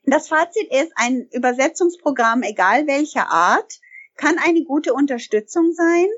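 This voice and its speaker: A woman's voice: sits high, animated, a little slow, fairly bright tone, muffled recording. A bilingual woman who owns a language school, answering interview questions in an emphatic way and often correcting herself mid-sentence. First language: bilingual German and English.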